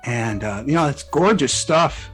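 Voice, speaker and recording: A man's voice: mid-range voice, animated, unhurried, fairly bright tone, soft background music. A man speaking casually as an interview guest, in a hesitant, rambling way. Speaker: interview guest, fan fiction author and hobby musician.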